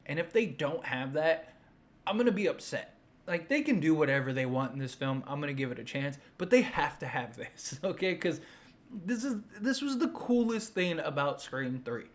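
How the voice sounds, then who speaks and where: A man giving casual, unscripted opinion commentary as a fan host, enthusiastic and emphatic, rambling and circling back on his points. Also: a little high.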